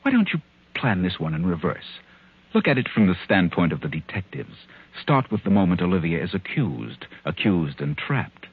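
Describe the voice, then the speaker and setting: Low, husky tempter in a vintage radio thriller, taunting and theatrical, muffled old broadcast sound. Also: male voice, sing-song, exaggerated voice. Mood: insinuating, calculating. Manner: insinuating, deliberate, theatrical. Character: taunting male inner voice goading a murder planner. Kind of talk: 1940s radio thriller acting.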